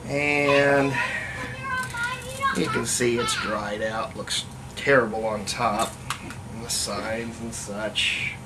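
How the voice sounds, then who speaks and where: A hobbyist restorer giving a casual hands-on workshop demonstration, thinking aloud in a halting way as they work. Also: medium pitch, steady tone. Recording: noisy room recording with ambient sound.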